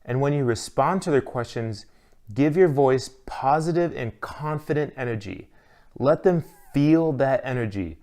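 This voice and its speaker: A man working as an instructor, delivering scripted instructional narration in a clear, measured, advisory manner. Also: mid-range voice, warm tone.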